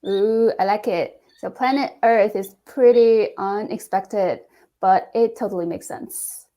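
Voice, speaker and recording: A woman's voice: medium pitch, unhurried, dark tone, lo-fi sound. Bubbly woman podcast host. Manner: bubbly, laughs often. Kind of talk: casual interview with icebreaker questions.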